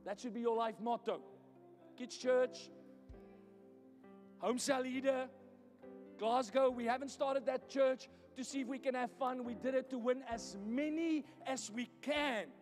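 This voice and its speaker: South African male pastor, high-pitched, blunt and teasing from the pulpit, echoey hall. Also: fairly even intonation, unhurried, a little mellow, faint music behind. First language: Afrikaans. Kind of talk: sermon. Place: church hall.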